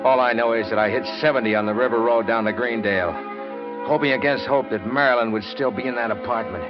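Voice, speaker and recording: A man's voice: medium pitch, quick pace, natural voice. An actor playing a cold-blooded, brooding reporter who narrates his own crime in terse 1940s radio drama style. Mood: coldly resolved. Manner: terse, clipped, hardboiled delivery. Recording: muffled old radio recording, loud music.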